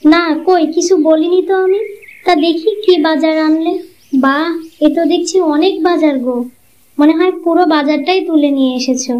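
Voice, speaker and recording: A woman's voice: very high-pitched, steady tone, normal speed, darkish tone. A woman voicing a sly, scheming young wife in a cartoon, sweet-talking and sarcastic. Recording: muffled lo-fi audio.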